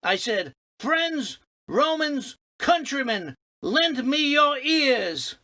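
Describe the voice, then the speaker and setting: A woman's voice: high-pitched, big pitch swings, very slow, pushed and bright, heavily acted. A woman playing a brash, indignant Roman senator and orator, declamatory and protesting, in old-time radio comedy style.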